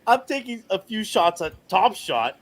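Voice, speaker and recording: A man's voice: high voice, big pitch swings, normal speed. A man in his forties telling a brash, joking story in a casual chat. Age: 40s.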